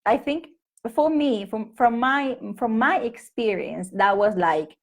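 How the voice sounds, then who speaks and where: A woman's voice: a little high, takes it slowly. A woman in her thirties from Peru with a Peruvian Spanish accent, chatting casually on a home live stream to English teachers, full of fillers and restarts. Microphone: laptop or phone mic on a live stream.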